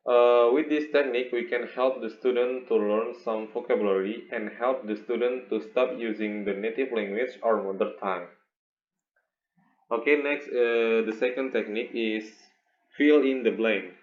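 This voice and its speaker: A young Indonesian man with an Indonesian accent gives an instructional presentation as a student teacher, halting and often repeating words. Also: mid-range voice. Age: young adult. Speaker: English education student presenting a teaching video. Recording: echoey room, muffled, faint noise.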